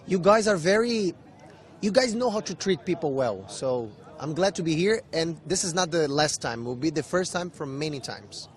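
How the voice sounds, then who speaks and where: A man from Brazil, a tourist, speaking in a warm, effusive way. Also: fairly high pitch, animated, moderate pace.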